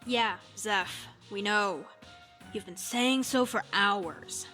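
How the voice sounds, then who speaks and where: An actress playing a blunt, sceptical, sullen young resistance fighter in a video game, sarcastic and combative. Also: female voice, mid-range voice, takes it slowly, pushed and bright. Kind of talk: video game quest dialogue. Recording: faint background music.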